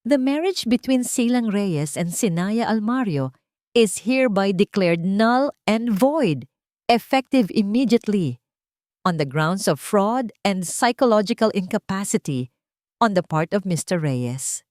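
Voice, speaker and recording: A woman's voice: mid-range voice, expressive, unhurried, darkish tone, dry recording, studio quality, lightly acted. A woman voicing a stern female judge, formal and unwavering, speaking with a Filipino accent. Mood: final, commanding. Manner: formal, firm, unwavering, clear.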